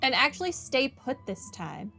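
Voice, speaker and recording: A woman's voice: high-pitched, sing-song, normal speed, gravelly, bright, forceful tone, soft background music. A woman giving casual playthrough commentary as a streamer, wryly talking back to the game. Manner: wry, talks back to the game.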